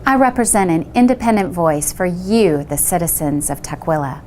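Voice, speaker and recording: A woman's voice: on the low side, normal speed, a little mellow, slight background noise. A woman running for city council, giving a firm and urgent campaign statement.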